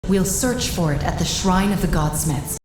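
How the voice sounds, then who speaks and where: An actress voicing a wise, commanding guide in a video game, measured and grave. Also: female voice, on the low side, animated, noisy background, lightly acted. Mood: instructive, solemn. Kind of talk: dramatic video game acting. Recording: echoey, music behind.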